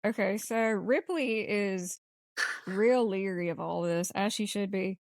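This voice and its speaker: A woman with a medium-pitched voice talks casually about a film as a podcast co-host. Her delivery is blunt and informal, full of slang. The sound is dry, with very little room. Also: slow pace, a little mellow, no echo.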